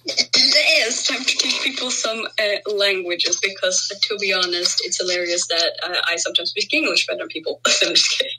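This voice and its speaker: A woman's voice: mid-range voice, normal speed, pushed and bright, low-quality recording. A woman from Norway with a southern Norwegian accent, co-hosting a casual podcast and chatting with many fillers and restarts. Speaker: podcast co-host.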